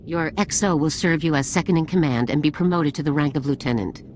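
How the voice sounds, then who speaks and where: A woman's voice: low voice, quick pace, a little mellow, slight background noise, close to an everyday voice. A woman playing a stern, formal, authoritative military general in a video game. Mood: stern, businesslike. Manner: formal, commanding, clipped. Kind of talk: scripted video game acting.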